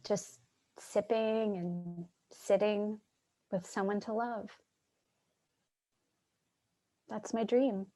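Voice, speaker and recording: A woman's voice: mid-range voice, steady tone, slow pace, mellow, close to an everyday voice, poor audio. A woman playing a wistful, dreamy homeless woman in a staged play reading, earnest and lingering over her phrases. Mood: wistful, tender. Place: remote reading over video call.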